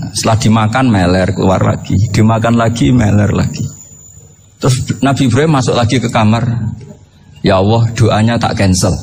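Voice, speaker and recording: A man's voice: lowish voice, gravelly, a little mellow, a little hiss or hum. A man from Indonesia with a Javanese accent preaches informally as a storyteller, conversational and joking, often posing rhetorical questions. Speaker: Islamic preacher. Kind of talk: informal sermon with humorous storytelling.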